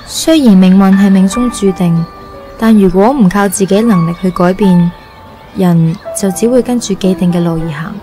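A woman acting a kindly nun in a radio drama, giving measured, philosophical advice in Hong Kong Cantonese. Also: mid-range voice, mellow, faint music behind, close to an everyday voice. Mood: earnest, guiding. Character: calm, kindly nun counselling a grown orphan.

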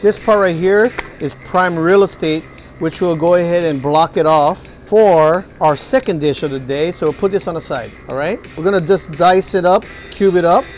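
A man's voice: fairly high pitch, moderate pace, faint music behind. A man in his forties, a chef demonstrating dishes in a restaurant kitchen and hyping the food to his viewers. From Hawaii. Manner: upbeat, hypes the food to viewers. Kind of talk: cooking demonstration.

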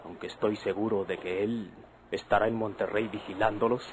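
A man's voice: fairly low pitch, steady tone, moderate pace, slight background noise, lightly acted, low-quality recording. An actor playing a proud, wounded young Mexican man, solemn and declamatory, in an old radio drama. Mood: calculating.

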